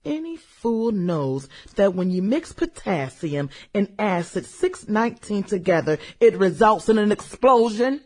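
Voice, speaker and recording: An actress playing a brooding, vengeful woman musing over old classmates in a radio drama, hesitant and husky. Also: mid-range voice, big pitch swings, measured pace, a little mellow. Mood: cold, smug. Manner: musing, hesitant, chuckles and hums between thoughts. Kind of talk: radio drama acting, conversational monologue.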